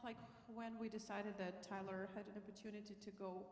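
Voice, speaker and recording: A woman from Ukraine with a Ukrainian accent, whose first language is Russian, telling her story as a guest in a church interview, halting with many fillers and restarts. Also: mid-range voice, little pitch movement, darkish tone, reverberant, big-room sound.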